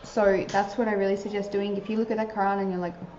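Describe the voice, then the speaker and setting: A young woman speaks in a casual, earnest advice talk, her speech dotted with fillers. Her voice is soft and mellow. The recording sounds roomy and a little dull. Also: medium pitch, little pitch movement, fast talker, slight background noise. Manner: earnest, chatty, many filler likes. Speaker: vlogger giving advice to new converts.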